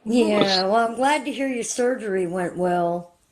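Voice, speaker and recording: Slow, hesitant woman in her forties. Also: mid-range voice. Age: 40s. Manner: hesitant, filler-heavy. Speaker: caller. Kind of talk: casual chat.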